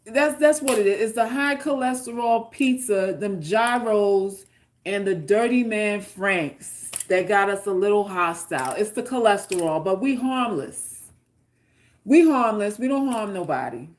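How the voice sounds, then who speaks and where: A woman's voice: medium pitch, unhurried. A woman from Queens with a New York accent, chatting casually and bluntly with viewers, joking and swearing freely. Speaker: livestream host reading chat. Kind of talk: casual unscripted chat.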